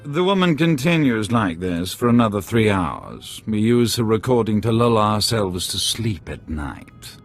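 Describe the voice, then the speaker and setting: A man's voice: fairly low pitch, sing-song, measured pace, few pauses, heavily acted. An actor playing a formal, courtly alien man who speaks for a crime boss in florid phrases in a video game. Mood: wry, courteous. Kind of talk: video game character acting. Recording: music under the voice.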